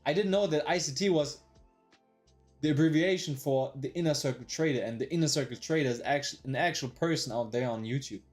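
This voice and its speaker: A man's voice: on the high side, brisk, faint music behind. Young man chatting casually on a live stream. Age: 20s. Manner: slangy, swears often, says "bro" a lot; rambling with restarts, replays his past thoughts aloud. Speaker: day trader live-streaming.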